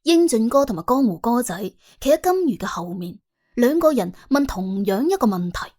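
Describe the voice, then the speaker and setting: Animated, husky Cantonese woman storyteller. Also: fairly high pitch, normal speed. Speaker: audiobook narrator. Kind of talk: dramatic, wry storytelling narration. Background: soft background music.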